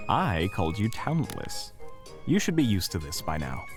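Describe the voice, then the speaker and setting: Very low, smug sardonic companion, played by a man. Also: huge pitch swings, moderate pace, faint music behind. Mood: smug, dry. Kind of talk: audio roleplay drama acting.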